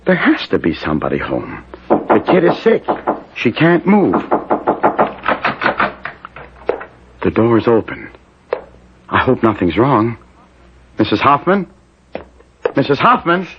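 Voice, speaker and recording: An actor playing a desperate, gullible husband in a 1970s radio drama, pleading and insistent in an agitated way. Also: male voice, medium pitch, wildly swooping pitch, unhurried, pauses often. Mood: earnest, worried. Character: desperate, gullible working-class husband wrongly suspected of bribery. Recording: dull, noisy vintage radio broadcast.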